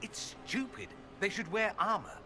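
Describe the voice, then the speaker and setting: An actor playing an exasperated, disapproving companion in fantasy game banter, blunt and huffy. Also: male voice, high-pitched, normal speed, over music, natural voice. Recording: loud game ambience over voice.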